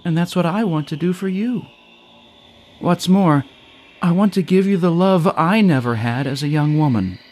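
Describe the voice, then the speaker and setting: A man's voice: fairly high pitch, normal speed, darkish tone, pitched above the performer's own voice, natural voice. A man voicing a regretful woman in her fifties who longs to be a mother, in an audio story. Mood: regretful, earnest. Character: fifty-something woman, regretful, sad, wanting to mother.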